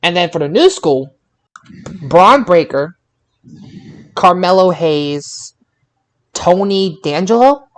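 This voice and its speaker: A man's voice: high voice, expressive, slow pace, pauses often, a bit bright, rough recording. A man hosting a podcast, leading a casual wrestling review chat. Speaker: podcast host leading the show.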